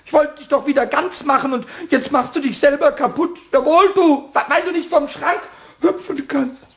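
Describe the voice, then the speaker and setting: An actor playing a mischievous, childish little male kobold in a radio play, with a high squeaky, giggly voice that stammers when he gets excited. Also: moderate pace. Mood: playful. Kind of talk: 1960s radio play acting.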